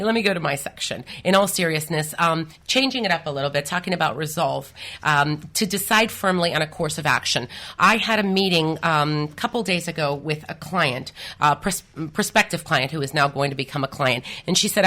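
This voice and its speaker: A woman co-hosting a radio talk show, telling stories in a lively, animated way with bursts of laughter, in a radio studio. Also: low-pitched, a little fast, few pauses. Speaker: co-host, financial planner.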